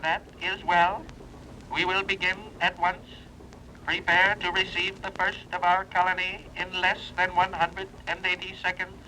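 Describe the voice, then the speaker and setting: A stiff, formal leader of a hidden civilization. His high voice is flat and sharply bright, coming over a radio link in a vintage adventure serial. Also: normal speed. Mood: formal, submissive. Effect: radio transmission. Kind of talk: 1930s radio serial acting. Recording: noisy vintage radio recording.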